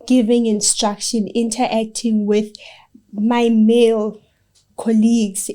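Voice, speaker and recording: A woman's voice: a little high, mellow. A young woman, a project engineer, answering interview questions in an earnest and encouraging way. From South Africa. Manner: earnest, encouraging, gives direct advice.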